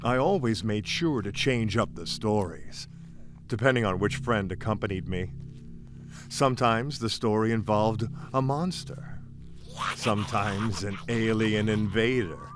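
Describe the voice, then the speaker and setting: A man's voice: lowish voice, unhurried. A man narrating a scripted first-person story in a theatrical, folksy, playful manner. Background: music bed.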